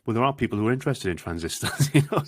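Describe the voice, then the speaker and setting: A man's voice: medium pitch, animated, very fast, no echo, clean studio sound. A man with a Swansea Welsh accent chatting as a podcast guest, with lots of restarts and quoted voices. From Wales. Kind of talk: casual interview chat.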